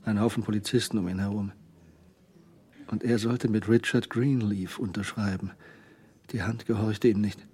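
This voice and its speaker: An actor narrating a crime radio drama in a measured, brooding manner. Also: male voice, lowish voice, steady tone, mellow, husky. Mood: tense, brooding.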